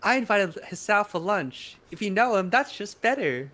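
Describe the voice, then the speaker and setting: Perky, jumpy visual-novel schoolgirl, played by a man, squeaky raised pitch, bright tone. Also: big pitch swings, moderate pace, exaggerated voice. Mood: friendly.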